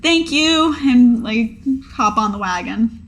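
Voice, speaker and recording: A woman's voice: fairly high pitch, animated, takes it slowly, slight background noise. A woman playing a tabletop role-playing game, bantering casually in an earnest, playful way.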